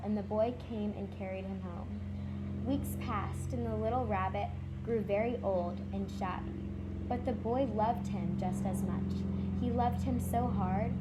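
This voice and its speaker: A woman volunteer narrating a children's story read aloud. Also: mid-range voice. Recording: clear background noise.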